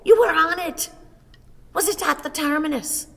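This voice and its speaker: An actress playing a fretful, long-suffering old wife in a radio play, fussing and pleading in a theatrical way. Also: female voice, high voice, normal speed, a bit bright. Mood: insistent, anxious. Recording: reverberant hall.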